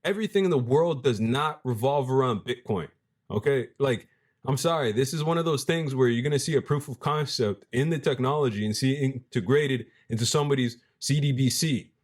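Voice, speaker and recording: A young man with a deep voice giving casual, animated commentary as a crypto video host, reading passages aloud and using slang. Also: fairly quick. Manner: animated, hype-driven, street slang, restarts mid-phrase.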